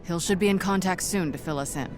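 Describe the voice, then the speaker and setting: A woman's voice: on the low side, quick pace, natural voice. A woman playing a cool, probing, guarded superhero spy in a video game, clipped and dry. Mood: cool, businesslike. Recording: game music behind the voice.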